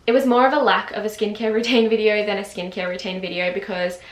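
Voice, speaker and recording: A woman's voice: medium pitch, steady tone, fast talker. Chatty young woman in roomy echo. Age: 20s. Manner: chatty, lots of "like" fillers, laughs often. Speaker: beauty vlogger. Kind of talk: casual chat to camera. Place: home, just woken up.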